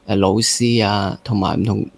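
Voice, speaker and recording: A man's voice: fairly low pitch, steady tone, moderate pace, gravelly. Casual male Hong Kong interview host.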